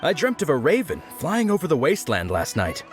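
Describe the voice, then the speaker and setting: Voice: medium pitch, huge pitch swings, fairly quick, soft background music. Sombre dreamer.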